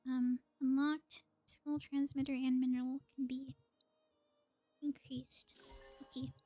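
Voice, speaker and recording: A woman's voice: high voice, little pitch movement, unhurried, dark tone, no room sound, soft background music. A woman live-streaming a video game, chatting casually and thinking aloud as she plays.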